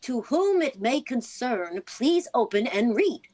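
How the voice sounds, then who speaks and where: A woman's voice: on the high side, very animated, takes it slowly, a little mellow, full character voice. A woman playing a concerned, persistent housewife in a vintage-style radio play, earnest and inquisitive. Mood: reading aloud, serious. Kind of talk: vintage radio drama acting.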